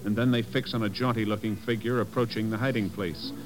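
A man's voice: fairly low pitch, steady tone, fairly quick, poor audio. A man narrating a 1950s radio drama with a grand, suspenseful delivery. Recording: old radio recording with loud organ music. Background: loud organ music.

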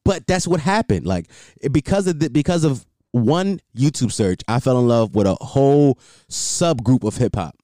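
A man's voice: mid-range voice, animated, normal speed, dark tone, dry recording, clean studio sound. A young man rambling casually as a solo podcast host, repeating himself and filling with "like".

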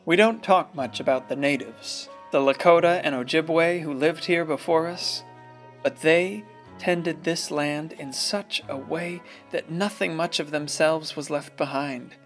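High-pitched reflective male storyteller. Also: unhurried, fairly bright tone. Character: reflective, wry small-town narrator reminiscing. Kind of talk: radio play narration. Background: music.

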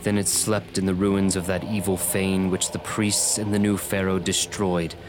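A man's voice: low-pitched, level tone, normal speed, natural voice. An actor in a radio drama playing a troubled, brooding man haunted by visions of cosmic horror. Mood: grave, ominous.

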